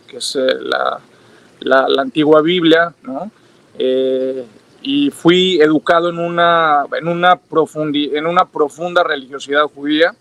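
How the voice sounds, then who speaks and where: A man's voice: a little high, a bit bright. A Mexican man giving long, reflective interview answers, hesitating often with fillers and restarts. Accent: Mexican Spanish. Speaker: interview guest.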